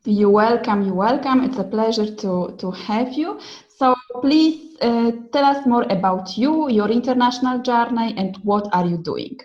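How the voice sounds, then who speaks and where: A woman's voice: on the high side, measured pace, darkish tone, lo-fi sound. A woman hosting a podcast, introducing her guest and asking questions in a friendly, encouraging way. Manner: friendly, encouraging, short affirmations. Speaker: podcast host. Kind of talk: podcast interview.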